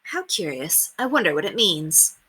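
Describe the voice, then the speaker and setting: A woman's voice: lowish voice, big pitch swings, moderate pace, pushed and bright, husky, heavily acted. Woman playing a prim, earnest young governess. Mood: curious. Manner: formal, proper, earnest phrasing.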